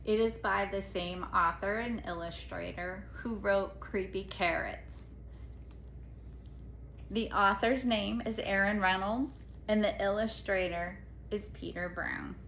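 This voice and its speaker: A woman reading a children's picture book aloud as a storyteller, expressively and dramatically, with pauses. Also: medium pitch, fairly even intonation, gravelly. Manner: dramatic, with hushed asides and pauses. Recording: echoey room with faint noise.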